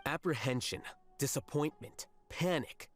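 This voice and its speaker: A man voicing a cool, detached young scholar in a video game, speaking in measured, formal, matter-of-fact sentences. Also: medium pitch, animated, fairly bright tone. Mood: calm, analytical. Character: cool, detached, analytical young male scholar, bluntly logical. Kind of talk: English video game voice acting. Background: game music.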